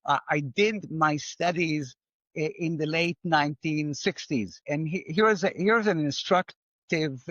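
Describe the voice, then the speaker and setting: An older man with an Israeli accent speaks as an interview guest, a finance professor. He tells his stories in a measured, wry way, with a slightly higher voice. The recording sounds dull and very dry. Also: fairly bright tone, dry recording. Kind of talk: conversational interview.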